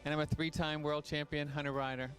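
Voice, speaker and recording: A man's voice: on the high side, level tone, quick pace, fairly bright tone, faint music behind. A man in his fifties, an American rider, giving a short plain self-introduction. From United States. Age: 50s.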